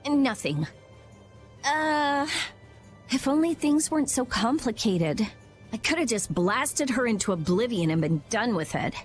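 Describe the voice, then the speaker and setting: A woman's voice: on the high side, big pitch swings, measured pace. Smart blunt game girl researcher, short fuse, music under. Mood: flustered, irritated. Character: brainy young woman researcher, blunt and hot-tempered. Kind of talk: English game cutscene voice acting. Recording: music behind the voice.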